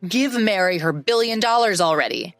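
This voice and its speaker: A woman's voice: medium pitch, lively intonation, moderate pace, a bit bright, dry recording. A woman voicing a greedy adult husband who pushes and demands money, in a narrated story. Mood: scornful. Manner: pushy, money-obsessed demands.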